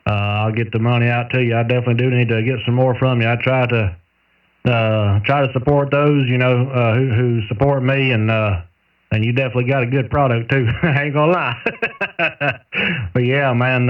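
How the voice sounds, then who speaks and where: A man with a Southern US accent chatting casually over two-way radio, in a folksy, unhurried storytelling way. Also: mid-range voice. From southern United States. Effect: two-way radio transmission. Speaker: amateur radio operator, radio technician. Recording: narrow tinny single-sideband CB radio, distant.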